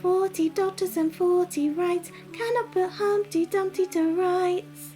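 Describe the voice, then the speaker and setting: A woman's voice: extremely high pitch, fairly even intonation, measured pace, dark tone, faint music behind, pitched above the performer's own voice. A woman voicing an impertinent young male squirrel from a storybook, chanting riddles in a teasing sing-song. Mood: impertinent, taunting.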